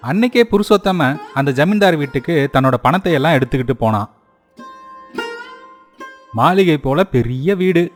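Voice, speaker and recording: A man with a somewhat high voice narrates a moral story in a scripted storytelling style. Music plays clearly behind him. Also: expressive, moderate pace, pauses often.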